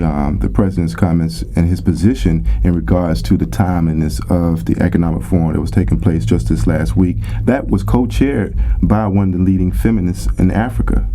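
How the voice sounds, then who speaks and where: A man hosting a radio interview, putting questions in a halting, formal and wordy manner. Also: low-pitched, dark tone. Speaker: radio host.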